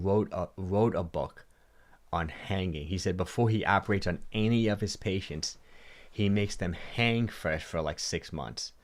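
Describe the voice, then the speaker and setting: A deep-voiced man in his late fifties chats through a relaxed livestream Q&A, full of restarts and repeated words. He talks into a studio mic on a boom arm in a small home studio. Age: 50s. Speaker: fitness livestream host. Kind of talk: casual livestream Q&A. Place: home studio with purple lighting.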